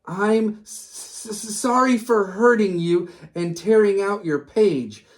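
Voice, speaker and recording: A woman's voice: medium pitch, slow, close to an everyday voice. A woman voicing a timid, earnest young booklet boy in a children's storybook. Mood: nervous, sorry. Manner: hesitant, stammering when nervous.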